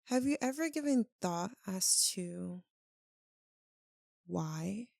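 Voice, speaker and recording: A melancholy female vampire lover, played by a woman for an ASMR roleplay, speaks extremely slowly in a creaky voice. She is tender and tormented, hesitating with long, heavy pauses. The sound is close and very dry, as if confided to one listener. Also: mid-range voice, expressive, darkish tone, no echo. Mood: solemn, explaining. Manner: hesitant, halting, earnest, with long dramatic pauses. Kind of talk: slow, intimate ASMR roleplay.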